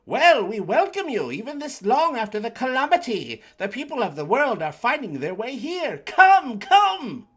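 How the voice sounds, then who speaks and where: A man's voice: extremely high pitch, sing-song, normal speed, few pauses, a bit bright, breathy edge, pitched above the performer's own voice, exaggerated voice. A man voicing a formal, eager bird creature welcoming strangers in a read-along.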